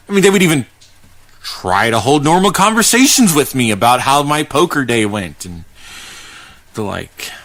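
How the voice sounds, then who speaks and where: Male storyteller, mid-pitched, first-person horror narration, conversational and halting with dramatic pauses. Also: fairly bright tone. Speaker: podcast host reading a horror story.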